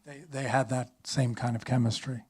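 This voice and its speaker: A man's voice: medium pitch, steady tone, normal speed, breathy edge. A man, a musician, speaking casually in a panel discussion.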